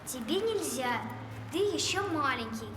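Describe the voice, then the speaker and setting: A woman voicing a plucky, determined girl in an animated film, earnest and a little bossy. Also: sits high, a little slow, noisy background. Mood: firm, dismissive.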